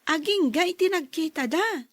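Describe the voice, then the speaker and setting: A woman's voice: extremely high pitch, animated, normal speed, no room sound. A woman playing a young, curious, helpful daughter in an audio drama, with a chatty, gossipy manner.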